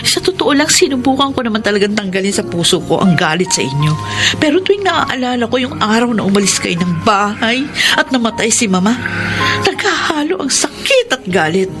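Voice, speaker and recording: Bitter, high-voiced Filipino daughter. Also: female voice, sing-song, a little fast. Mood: hurt, accusing. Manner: accusing, emotional, stern. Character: bitter, wounded adult daughter, unforgiving mother. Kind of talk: Filipino radio drama acting. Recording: dramatic music under the voice.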